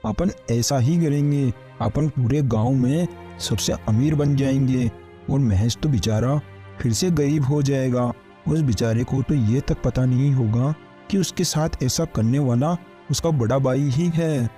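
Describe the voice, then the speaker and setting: A man's voice: mid-range voice, a little slow, mellow, close to an everyday voice. A man playing a jealous, scheming farmer and smug husband in a kids' cartoon, gloating and conspiratorial. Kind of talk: Hindi kids' cartoon story dialogue. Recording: music under the voice.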